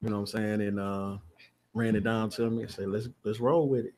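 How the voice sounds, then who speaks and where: Low-voiced American man, casual guest. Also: fairly even intonation, a little fast, a little mellow, a little hiss or hum. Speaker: stage play actor, interview guest. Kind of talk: casual chat.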